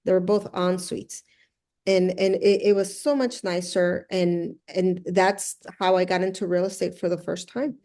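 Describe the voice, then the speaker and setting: A woman's voice: fairly low pitch, fairly even intonation, measured pace, warm tone. Middle-aged woman from El Salvador, earnest storyteller. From El Salvador, moved to the US at six. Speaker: interview guest, luxury house flipper. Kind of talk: conversational interview storytelling.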